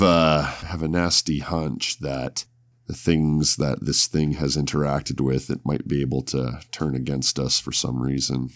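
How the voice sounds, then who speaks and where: A man with an extremely deep voice full of gravelly creak. He talks deliberately, as a tabletop podcast host, in a dry close sound. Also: no room sound. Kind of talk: tabletop roleplaying talk and podcast narration.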